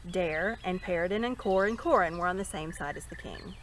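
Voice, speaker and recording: A woman reading a story aloud in an expressive narrating style. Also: lowish voice, fairly quick, a little hiss or hum. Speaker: storybook reader.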